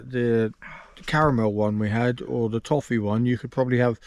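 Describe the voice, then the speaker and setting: A man's voice: mid-range voice, steady tone, moderate pace, darkish tone, a little hiss or hum. An English man hosting a casual camping vlog outdoors in the woods, reviewing a drink and explaining at length with many restarts. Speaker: vlogger host.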